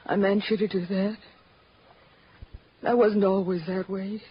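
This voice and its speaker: Mysterious wistful wife, vintage radio noir, faintly rough-edged voice, dull old recording. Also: female voice, medium pitch, steady tone, measured pace. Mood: wistful, confessional. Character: mysterious married woman, wistful and secretive noir beauty. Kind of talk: 1940s radio drama acting.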